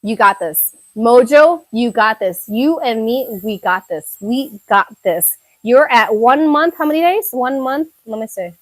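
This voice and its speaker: A woman's voice: on the high side, expressive, a little slow, no room sound, rough recording. A young woman from Thailand chatting casually on a live stream, answering her viewers' comments and often repeating herself. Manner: chatty, repeats words, many fillers. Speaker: YouTuber reading viewer chat.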